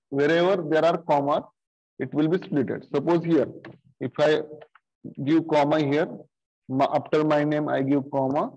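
A middle-aged man teaching programming over a screen-share video call, talking slowly and haltingly with many fillers and repeated okays. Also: a little high, darkish tone. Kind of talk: screen-share coding lesson. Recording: dull lo-fi sound.

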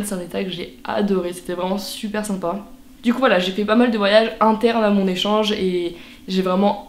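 A woman's voice: medium pitch, darkish tone. Chatty teen girl in an echoey room. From France. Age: teens. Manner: chatty, frequent fillers and restarts. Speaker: vlogger. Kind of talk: casual vlog to camera. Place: bedroom, indoors.

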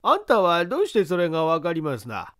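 Man putting on a high-voiced pompous old police chief. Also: huge pitch swings, quick pace, fairly bright tone, dry recording, clean studio sound. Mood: puzzled. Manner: rustic old-fashioned official speech. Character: folksy, pompous older male police chief. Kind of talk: audiobook character acting.

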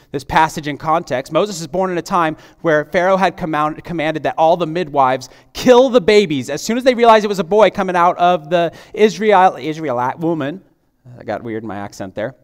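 Man preaching in a reverberant hall. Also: high-pitched. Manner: prays softly, then preaches with rising, emphatic intensity. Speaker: pastor. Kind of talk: sermon. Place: church stage, large auditorium.

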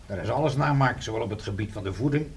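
A man's voice: mid-range voice, quick pace, gravelly, a little hiss or hum, natural voice. An actor playing a sardonic, scheming man with a drawling manner, in a radio drama. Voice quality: sardonic, drawling, full of ideas. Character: sardonic, scheming man, a friend starting a business.

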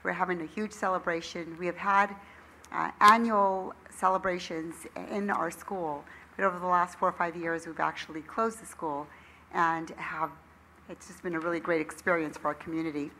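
Older woman, school owner, friendly public comment at a lectern mic, lowish bright voice. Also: steady tone, normal speed, slight background noise, low-quality recording. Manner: friendly, inviting, a little hesitant. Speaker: nursery school owner addressing council. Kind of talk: public comment at a council meeting. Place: council chamber, standing at a lectern. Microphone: lectern microphone.

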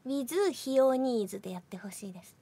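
A woman's voice: a little high, expressive, a little fast, warm tone, husky, no echo. A woman hosting a radio show, switching between casual chat and reading out game announcements. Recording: music behind the voice. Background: background music.

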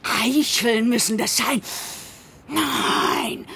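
An actress voicing an evil, greedy, pig-like queen in a radio play, theatrical and savouring each word in a hoarse, rough voice. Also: fairly high pitch, fairly even intonation, extremely slow, noisy background, exaggerated voice. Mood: greedy, excited.

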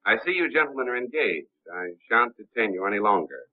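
Suave gentleman detective, low, smooth, courteous; thin 1930s movie audio. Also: very animated, quick pace. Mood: cool, courteous. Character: suave, cool gentleman sleuth posing as a lawyer. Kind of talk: 1930s film acting. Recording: muffled, tinny 1930s film soundtrack.

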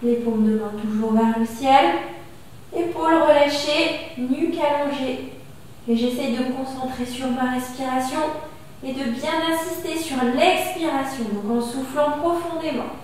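A French woman in her thirties leading a gentle exercise class, calmly cueing each breath and movement as she does the exercise on a mat. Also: high-pitched, measured pace, a little hiss or hum. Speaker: fitness instructor. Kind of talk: guided exercise class instruction. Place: small gym studio with wall bars, indoors. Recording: echoey room from far off.

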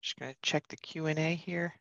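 A woman's voice: sits low, steady tone, brisk, gravelly, no echo. A woman moderating an online event through a headset on a video call. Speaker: symposium moderator. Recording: slightly dull headset call audio.

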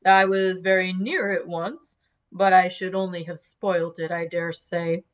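A woman voicing a good-natured, slow-witted carrier man who speaks slowly and deliberately. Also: fairly low pitch, fairly even intonation, close to an everyday voice. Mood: plain.

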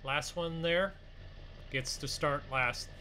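Excitable man with a high, bright voice. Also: slow pace. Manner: excitable, exclamatory, bossy urging. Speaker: gaming video creator playing a truck roleplay. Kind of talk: improvised gaming roleplay banter. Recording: faint background noise.

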